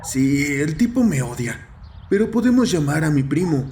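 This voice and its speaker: A man's voice: fairly high pitch, very animated, normal speed, warm tone, slight background noise, voice pushed up. A man voicing a cheeky, defiant teenage boy in a horror audio story, talking brash slang. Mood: brash, teasing.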